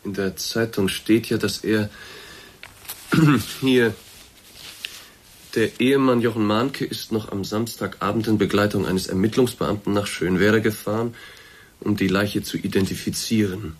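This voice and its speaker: An actor playing a courteous, awkward middle-aged boss in a radio play, speaking in a formal, hesitant, roundabout way with a slightly husky voice. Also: male voice, lowish voice, fairly even intonation, measured pace. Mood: musing, formal. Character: courteous, awkward male boss, discreetly breaking bad news. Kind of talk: German radio drama acting, naturalistic dialogue.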